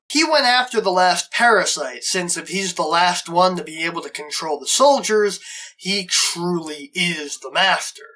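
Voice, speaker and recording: A man's voice: fairly high pitch, sharp tone, poor audio. A man hosting a review show, narrating a scripted plot recap.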